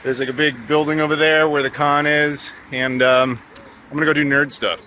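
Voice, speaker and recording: A man from North America hosting a casual travel vlog, chatty and jokey, talking excitedly about food and games. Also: fairly high pitch, normal speed, slight background noise. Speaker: vlog host.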